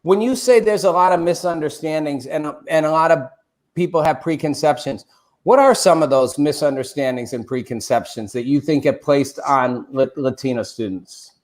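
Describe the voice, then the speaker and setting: A middle-aged man hosting a live online show from a home studio, chatty and animated, with loose fillers. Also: a little high, normal speed. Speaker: host. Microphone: desk studio mic.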